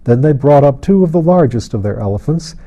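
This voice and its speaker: Guy reading a fantasy audiobook, really mellow dark tone, measured storyteller delivery. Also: medium pitch, animated. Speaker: audiobook narrator. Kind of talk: scripted narration of a fantasy novel.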